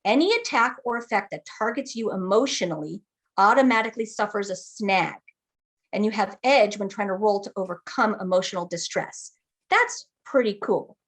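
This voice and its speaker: A woman guiding a new player through building a character. She explains each rule patiently, stops often to check they are following, and her pitch sits in a middle range. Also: lively intonation, normal speed, a bit bright. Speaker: game master guiding character creation. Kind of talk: explaining game rules.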